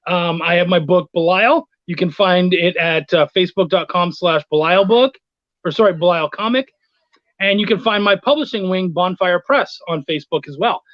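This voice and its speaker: A man's voice: sits high, moderate pace, dry recording, dull audio. A man chatting casually as an interview guest, with informal, slangy talk. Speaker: guest, comics writer and editor. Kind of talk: casual video chat.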